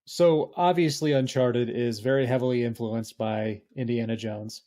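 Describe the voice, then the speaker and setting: Regular mid pitched guy on a movie podcast, just casually talking through his take. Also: steady tone, moderate pace, warm tone. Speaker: podcast host. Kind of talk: casual film discussion.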